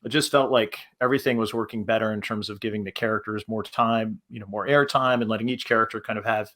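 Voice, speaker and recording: Lower-pitched man talking haltingly on a panel. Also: steady tone, fairly bright tone. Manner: halting, repeats words. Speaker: panelist, producer. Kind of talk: informal panel talk.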